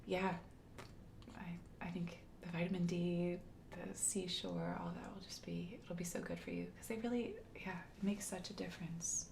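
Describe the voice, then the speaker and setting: A lowish woman whose soft, gravelly voice often sinks to a hushed near whisper as she agrees and encourages. There is a faint hum behind her. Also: fairly even intonation, normal speed. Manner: soft-spoken, often drops to a whisper, affirming. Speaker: guest, new mother. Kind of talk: casual chat.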